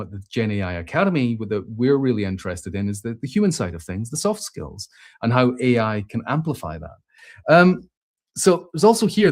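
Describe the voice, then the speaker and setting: Chatty man thinking aloud with restarts. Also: medium pitch. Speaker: host. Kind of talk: casual spoken commentary.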